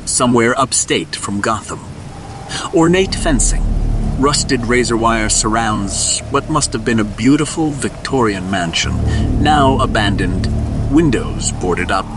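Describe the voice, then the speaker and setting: A man's voice: mid-range voice, lively intonation, measured pace, music in the background, poor audio. A man narrating an audio drama as a scripted storyteller, setting each scene.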